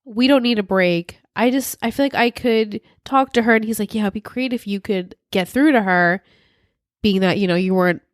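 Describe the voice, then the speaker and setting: A woman's voice: medium pitch, moderate pace, no room sound, studio quality. Chatty woman with a sinus-worn voice. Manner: animated, acts out characters' lines in quotes. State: congested, losing her voice from a sinus infection. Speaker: podcast co-host. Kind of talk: casual chat recapping a TV show.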